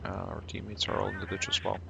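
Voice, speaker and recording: A man from Poland with a Polish accent, whose first language is Polish, calmly commenting on his game for a live stream and greeting viewers in chat. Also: deep voice, vocal fry, background noise. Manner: calm, unhurried, greets chat viewers between plays.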